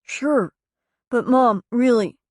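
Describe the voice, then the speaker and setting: A woman's voice: on the high side, slow pace, dry recording, studio quality, voice pushed up. A woman voicing an ashamed young adult son who pleads with his mother, his voice choked with tears. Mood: pleading.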